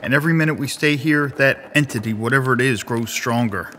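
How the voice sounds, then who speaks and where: A man's voice: mid-range voice, fairly quick, pushed and bright, a little hiss or hum, natural voice. A man playing a grave, distrustful, unforgiving man with a stern manner in an audio drama. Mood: grim, distrustful.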